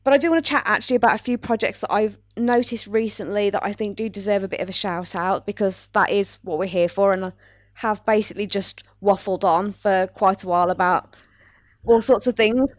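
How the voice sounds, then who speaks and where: Woman, podcast host, casual chat, self-deprecating, medium pitch, very little room sound. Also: fairly even intonation, a little fast, no room sound.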